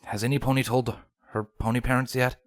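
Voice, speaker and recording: A man voicing a weary, gruff, irreverent soldier pony in a storybook reading. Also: mid-range voice, level tone, a little fast, no echo, clean studio sound, close to an everyday voice. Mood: subdued.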